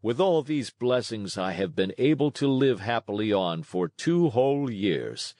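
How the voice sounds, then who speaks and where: A man voicing a weary, gentle old father with a measured, tender delivery in a storybook read-along. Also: mid-range voice, animated, no room sound, clean studio sound, close to an everyday voice. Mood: resigned. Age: older.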